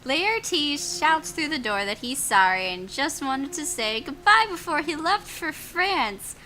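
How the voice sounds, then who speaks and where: A woman's voice: high voice, big pitch swings, measured pace, bright, forceful tone. A woman co-hosting a casual let's play, reading a story game aloud expressively and adding amused asides.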